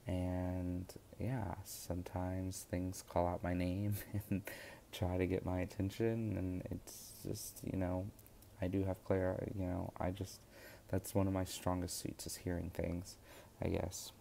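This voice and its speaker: A man's voice: sits low, monotone, a little slow, creaky, dark tone, slight background noise. A young man talking through his witchcraft course lessons in a casual, rambling way, full of fillers and restarts. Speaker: witchcraft course student vlogger. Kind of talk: casual spoken vlog.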